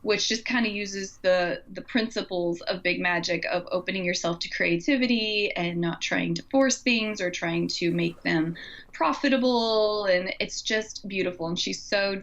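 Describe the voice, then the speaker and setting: A woman's voice: medium pitch, moderate pace, fairly bright tone, lo-fi sound. A woman co-hosting a podcast, chatting casually and effusively in an informal way. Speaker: podcast co-host. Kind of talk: casual conversational podcast chat.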